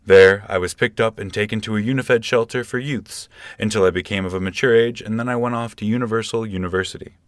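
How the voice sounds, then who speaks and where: An actor playing an earnest, defensive galactic presidential candidate with formal politician's talk in a comic sci-fi radio play. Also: male voice, fairly low pitch, fairly even intonation, quick pace, hardly any pauses, clean tone, no echo, studio quality, lightly acted. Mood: earnest, modest.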